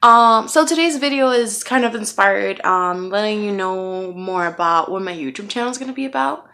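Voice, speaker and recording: A woman's voice: mid-range voice, animated, normal speed, bright, forceful tone. A woman in her twenties from Puerto Rico, chatting casually and self-mockingly about herself with plenty of ums and likes. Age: 20s. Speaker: vlogger. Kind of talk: casual get-to-know-me chat. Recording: music playing clearly behind the voice.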